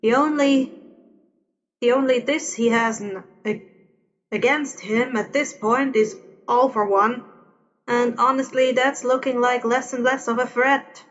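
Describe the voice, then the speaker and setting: A woman's voice: a little high, fairly even intonation, a little slow, natural voice. A woman voicing the chatty, wry ghost of a past hero in a story reading, with long reverb. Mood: matter-of-fact. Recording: dull, long echoing reverb.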